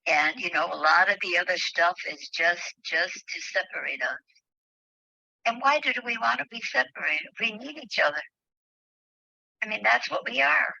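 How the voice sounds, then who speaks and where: A woman who is a spiritual leader, speaking haltingly with pauses as she reads and shares devotional thoughts with a group over a phone call. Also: lowish voice, expressive, creaky, pushed and bright, no echo. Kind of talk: devotional reading and heartfelt group sharing. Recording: dull, dry online call audio.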